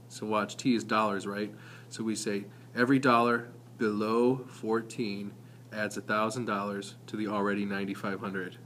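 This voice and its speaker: A man's voice: lowish voice, a bit bright, slight background noise. A man giving an informal, explanatory math lesson as a teacher, talking conversationally with some hesitant self-corrections.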